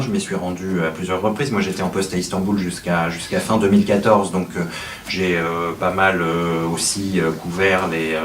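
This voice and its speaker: A man speaking as a guest journalist, giving a talk to an audience with frequent restarts. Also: low-pitched. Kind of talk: lecture to an audience. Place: meeting hall. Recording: echoey room from far off, noisy.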